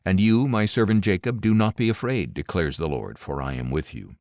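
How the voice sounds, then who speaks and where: A man narrating scripture as an audiobook, reading from the text in a solemn, measured way with deliberate, clearly enunciated phrasing. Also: sits low, expressive, darkish tone, no echo, studio quality.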